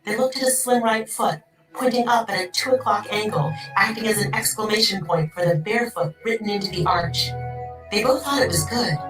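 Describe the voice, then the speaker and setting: A woman's voice: medium pitch, brisk, a bit bright, natural voice. A woman narrating a dramatized audiobook story. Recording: echoey distant playback with music. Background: music, sound effects.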